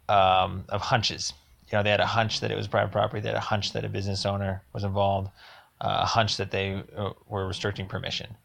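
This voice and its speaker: A man leaving a rambling voicemail complaint, speaking in a halting, insistent and emphatic way. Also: lowish voice, vocal fry, fairly bright tone.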